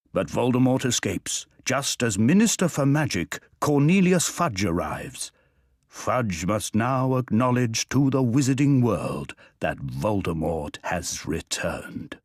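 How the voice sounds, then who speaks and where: A man narrating a scripted story as a game's narrator. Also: fairly low pitch.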